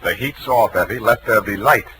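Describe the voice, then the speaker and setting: An actor playing a wry, laconic, tough private detective in a 1940s radio drama. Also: male voice, lowish voice, normal speed, pushed and bright, slight background noise, poor audio. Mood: brisk. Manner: laconic, sardonic, offhand. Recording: vintage 1940s radio broadcast.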